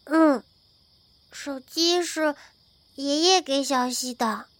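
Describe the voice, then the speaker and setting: A woman playing an innocent, sweet little girl in an audio drama, talking in simple, halting childlike phrases. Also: high-pitched, dry recording. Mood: innocent, earnest. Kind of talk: modern audio drama acting.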